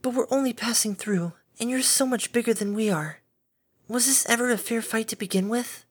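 A woman voicing a timid, gentle female pegasus pony who speaks softly and soothingly. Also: fairly high pitch, moderate pace, breathy edge, pitched above the performer's own voice. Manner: soft, soothing, gently pleading.

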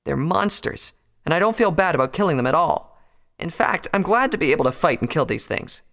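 A man's voice: high voice, huge pitch swings, fast talker, a bit bright, voice pushed up, exaggerated voice. A man voicing a brash, boastful young female pegasus pony fighter in a heated manner for a story reading. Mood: angry. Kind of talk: dramatised fan fiction reading.

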